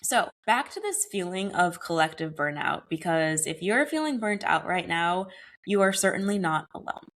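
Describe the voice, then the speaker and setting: A young adult woman hosting her wellness podcast alone, talking in an earnest, conversational way, her voice a bit raspy from a recent cold. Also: fairly low pitch, expressive, normal speed, a bit bright. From United States. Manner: earnest, conversational, uses casual "y'all" and "like". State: slightly hoarse from a cold. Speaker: podcast host. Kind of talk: solo wellness podcast monologue.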